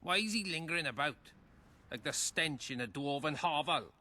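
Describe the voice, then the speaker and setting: An actor playing an angry, coarse peasant rabble-rouser in a video game, rustic and belligerent. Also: male voice, fairly high pitch, lively intonation, normal speed, pushed and bright, a little hiss or hum. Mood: surly, defiant. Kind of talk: video game dialogue acting.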